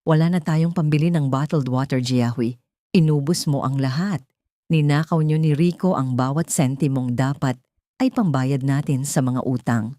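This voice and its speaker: A woman with a Filipino accent voicing a ruined, resentful husband in a flat, emotionless manner. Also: rumbling low, normal speed, no room sound, studio quality. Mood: cold, reproachful. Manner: flat, lifeless.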